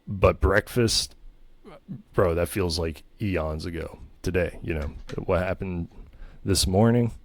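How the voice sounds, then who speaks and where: Smug low-voiced man rambling on. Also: dry recording. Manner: smug, pompous and self-aggrandizing; meandering, full of ums and restarts. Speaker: comedy channel host. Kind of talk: rambling comedic monologue.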